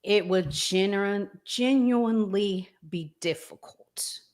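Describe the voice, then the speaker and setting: A woman hosting a casual discussion, talking in a measured, deliberate way with pauses. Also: mid-range voice, a little mellow. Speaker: host.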